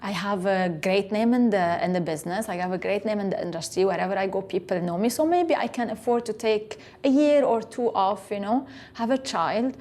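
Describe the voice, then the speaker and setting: A woman in her thirties, a guest in a casual interview, telling her story with lively energy and acting out the quoted dialogue. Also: mid-range voice, quick pace, few pauses. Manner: animated storyteller who acts out quoted dialogue. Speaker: guest, author.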